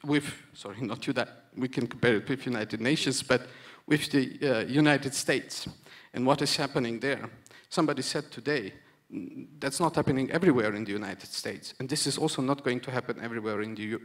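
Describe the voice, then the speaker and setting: A man from Romania gives an earnest conference speech, stressing points through repetition and pausing for hesitant restarts. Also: mid-range voice, fairly bright tone. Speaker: conference panel speaker.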